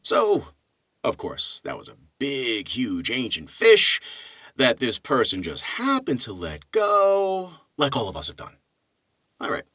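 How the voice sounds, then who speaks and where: A man with a bright, forceful voice and a husky grain speaks as a narrator of poetry. His phrasing is deliberate and dramatic, with unhurried pauses. The sound is close and dry. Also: medium pitch, huge pitch swings, no room sound. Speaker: narrator and literature teacher. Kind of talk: poetry reading with casual introduction.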